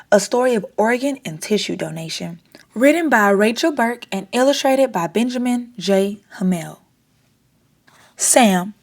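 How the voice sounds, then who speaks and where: High-pitched woman reading a children's story. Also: darkish tone. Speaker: storytime host reading aloud. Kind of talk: children's picture book read-aloud.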